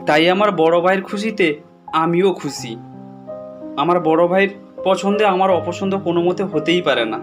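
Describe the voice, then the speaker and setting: A man plays an earnest younger brother in a cartoon, speaking high and firm. Loud music plays under him. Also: a little fast, lightly acted.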